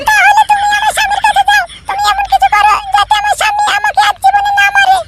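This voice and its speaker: A performer voicing a plaintive young married woman in a comic cartoon, with a squeaky, shrill, pitched-up voice. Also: completely flat delivery, fast talker, far from the mic. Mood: plaintive, pleading. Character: young married woman, tearful, pleading battered wife. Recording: music behind the voice.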